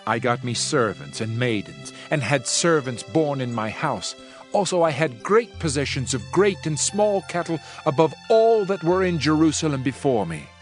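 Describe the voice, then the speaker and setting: A man's voice: medium pitch, natural voice. Man acting solemn world-weary preacher king over music. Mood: reflective, rueful. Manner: solemn, measured, oratorical. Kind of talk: dramatized scripture reading. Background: background music.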